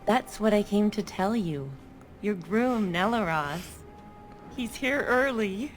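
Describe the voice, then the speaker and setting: A woman's voice: medium pitch, expressive, slow pace, faint music behind. An actress playing a cheery, teasing young female elf cousin in a video game. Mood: excited.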